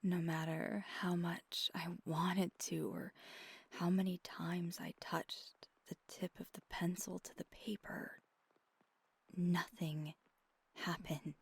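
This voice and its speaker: A woman playing a wistful, tender vampire girlfriend in an intimate ASMR roleplay, confiding in a soft, halting, breathy half-whisper close to the mic. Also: fairly low pitch, no echo, heavily acted. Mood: hesitant, regretful. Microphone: close microphone.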